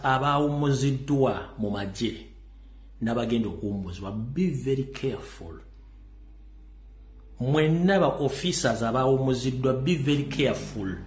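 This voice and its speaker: A man's voice: medium pitch, echoey room. A Ugandan man giving urgent political commentary, warning listeners and mixing English into his talk.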